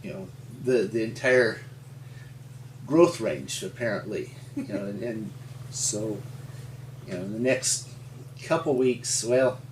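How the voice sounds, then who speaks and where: An older man from rural Montana with an American accent, telling his story in an interview in a halting, folksy, explanatory way. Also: lowish voice. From rural Montana, United States. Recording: roomy, distant mic with faint hum.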